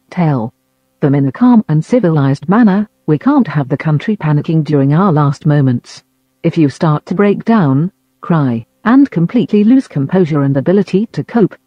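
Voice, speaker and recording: A man reading a scripted emergency broadcast announcement in a formal, measured manner. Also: a little high, warm tone, no room sound. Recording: dull lo-fi audio.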